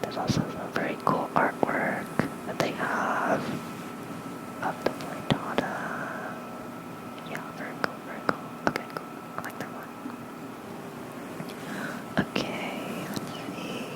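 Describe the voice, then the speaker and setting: ASMR bedtime narrator: pure soft whisper, slow gentle pacing, breathy, close-miked. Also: poor audio. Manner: gentle, unhurried, murmuring small asides. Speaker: host of an ASMR channel. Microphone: close mic with fluffy cover.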